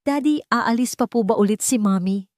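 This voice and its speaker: A woman voicing a quiet, hopeful, anxious young boy in an audio drama, speaking politely and hesitantly. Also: a little high, moderate pace, no room sound, clean studio sound, pitched above the performer's own voice, natural voice.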